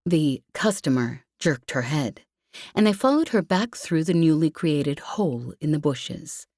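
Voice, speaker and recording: A woman narrates an audiobook novel in a low voice at an unhurried pace. The recording is close and dry, with the scripted flow of story reading. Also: a little mellow, dry recording.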